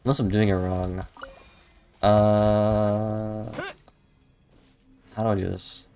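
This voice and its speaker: Low-voiced man muttering over game music. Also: little pitch movement, slow. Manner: slangy, muttering, unsure of himself. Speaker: live streamer playing a video game. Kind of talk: casual live gameplay commentary. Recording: music behind the voice.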